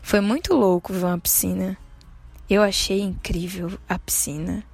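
A woman's voice: mid-range voice, little pitch movement, moderate pace, gravelly, a little mellow, breathy edge. A woman in her twenties with a Bahian Brazilian accent, casually telling personal stories as a podcast host. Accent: Bahian Brazilian Portuguese. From Salvador, Bahia, Brazil. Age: 20s. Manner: casual, conversational, self-deprecating.

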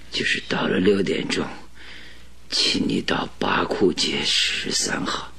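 An actor playing a gravely ill, stubborn detective in a radio drama, with a weak, breathy, halting voice. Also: male voice, low-pitched, bright, forceful tone, full character voice. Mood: weak, insistent. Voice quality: breathy, raspy, weak whisper. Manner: halting, laboured, pleading.

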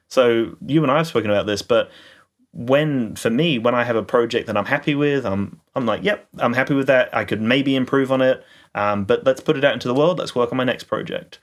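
A man co-hosting a casual podcast, talking reflectively with many fillers and restarts. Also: medium pitch. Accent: non-standard, mocked as a kid. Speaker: podcast co-host, YouTuber.

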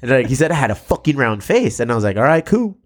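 A man hosting a podcast in casual chat, swearing freely and acting out quotes in animated stories, in a room above a brewery. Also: medium pitch, quick pace. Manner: profane, animated storytelling with voiced quotes. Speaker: podcast host.

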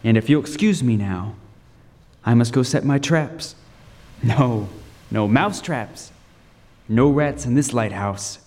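A man's voice: mid-range voice, darkish tone. Man acting haunted lighthouse keeper, echoing hall. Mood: uneasy. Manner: deliberate, dramatic, with suspenseful pauses and repetition. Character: haunted lighthouse keeper recounting an ordeal, grimly tense. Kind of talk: radio drama first-person narration. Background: music.

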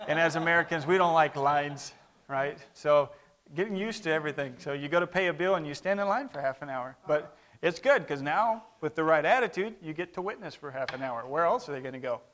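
A man's voice: on the high side, brisk, a bit bright. A man in his thirties who grew up in Brazil, speaking Portuguese first, giving a conversational missionary report to a church and calling for amens. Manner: conversational, with calls for amen.

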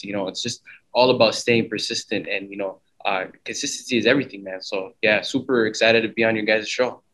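A man's voice: on the low side, fairly bright tone, rough recording. A man from Somalia, a podcast guest, talking in an earnest, motivational way and often repeating "really". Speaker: guest, co-founder. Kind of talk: casual interview talk.

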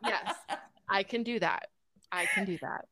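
A woman of thirty co-hosting a podcast, chatting casually and encouraging her guest with quick affirmations. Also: mid-range voice, bright, forceful tone, no echo. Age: 30s. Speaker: podcast co-host.

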